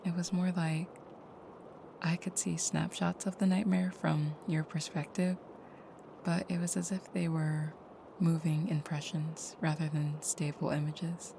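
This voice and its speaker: Woman acting a low, whispery vampire girlfriend, noisy recording. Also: level tone, a little slow. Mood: gentle, reassuring. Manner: soft, breathy murmuring, often whispered, soothing. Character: female vampire girlfriend, protective and tender, menacing in nightmare. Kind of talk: intimate audio roleplay acting.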